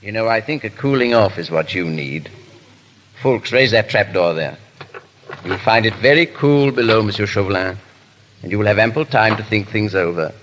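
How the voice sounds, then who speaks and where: A man's voice: fairly low pitch, lively intonation, moderate pace, a bit bright, a little hiss or hum. A man acting a suave, foppish English aristocrat hero in a 1930s radio drama, drawling and theatrically polite. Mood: mocking, breezy. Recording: reverberant 1930s radio broadcast.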